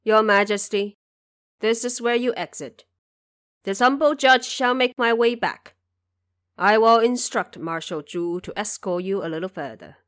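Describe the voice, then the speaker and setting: A woman plays a dignified judge of the dead in an audio drama. He speaks formally and deliberately, laying out each point as grave instruction to a ruler. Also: mid-range voice, a bit bright, lightly acted. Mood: courteous, humble. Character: solemn, dignified male underworld judge advising an emperor.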